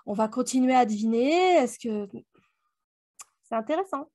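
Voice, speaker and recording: A woman's voice: on the high side, lively intonation, normal speed, dark tone, no echo, studio quality. A woman co-hosting a book club podcast, chatting casually and reflectively, often posing rhetorical questions. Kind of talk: casual book discussion chat.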